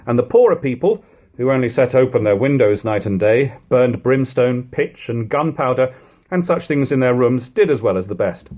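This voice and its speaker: A man reading an old literary text aloud as an audiobook narrator, with expressive, emphatic phrasing and deliberate pauses. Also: medium pitch. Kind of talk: dramatic audiobook reading of 18th-century prose.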